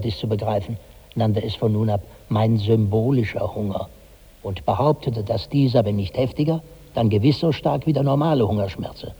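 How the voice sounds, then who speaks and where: An actor playing a dry, resigned companion who narrates with measured irony in 1960s radio drama style. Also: male voice, medium pitch, warm tone, breathy edge, muffled recording, lightly acted. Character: dry, resigned male companion and chronicler of a rich glutton. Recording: reverberant 1960s radio studio recording.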